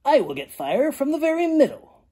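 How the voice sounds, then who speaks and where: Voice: high voice, sing-song, moderate pace, mellow, voice pushed up. A storyteller voicing a bold, confident animal volunteer from a children's storybook in a proud, eager, declamatory way.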